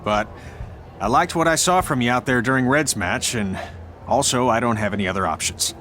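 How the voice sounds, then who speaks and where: A man's voice: medium pitch, moderate pace, a bit bright, a little hiss or hum, lightly acted. A man playing a self-assured veteran wrestler and blunt mentor in a video game cutscene, with a dry delivery. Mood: dry, commanding.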